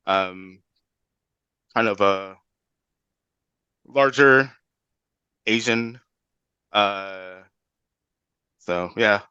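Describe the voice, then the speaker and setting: A man's voice: lowish voice, bright, forceful tone, clear, resonant tone, dry recording. A man from Houston, a panel guest introducing himself hesitantly with many fillers. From Houston, Texas.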